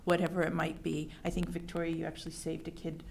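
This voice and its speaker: A woman's voice: deep voice, monotone, fast, creaky, a little mellow. A woman school administrator presenting at a meeting, enthusiastic and talking with many fillers.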